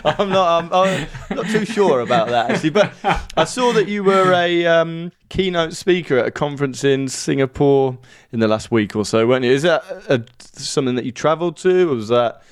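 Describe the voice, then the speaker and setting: A man's voice: fairly high pitch, expressive, normal speed. A man with a Brummie accent hosting a podcast in a chatty, informal, self-deprecating way. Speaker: podcast host.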